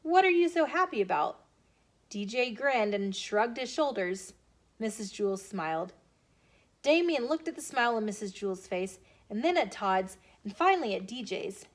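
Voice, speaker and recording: An American woman reading a children's storybook aloud in an expressive, animated way as a storytime host. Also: medium pitch, moderate pace. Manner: animated, emphatic character dialogue.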